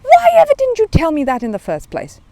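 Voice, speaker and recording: A woman acts out a young male police constable in a children's storybook. He is stern and officious but easily flustered. The delivery is very animated, with big leaps in pitch. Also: a little fast, heavily acted. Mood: flustered, weary.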